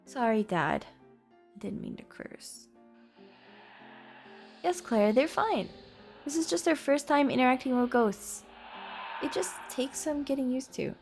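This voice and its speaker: A woman's voice: sits high, vocal fry, heavily acted. A woman playing a sweet, flustered young woman in a scripted roleplay drama, speaking hesitantly and affectionately, easily exasperated. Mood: apologetic, reassuring. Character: sweet flustered young woman introducing her ghost family to a partner. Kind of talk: scripted roleplay audio drama, one-sided conversation.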